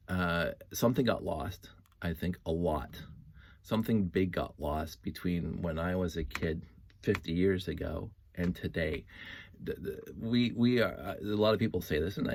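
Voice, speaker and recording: Male host, fifties, deep register, dry sound; casual opinionated monologue, earnest, stop-and-start delivery. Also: dry recording. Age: 50s. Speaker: host of a story-time monologue.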